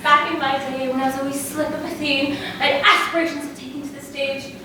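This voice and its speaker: A woman's voice: sits high, steady tone, quick pace, natural voice. A woman playing a gushing, starstruck older society matron in a stage farce, effusive, chatty and genteel. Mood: gushing, nostalgic. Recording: echoey, noisy stage recording from far off.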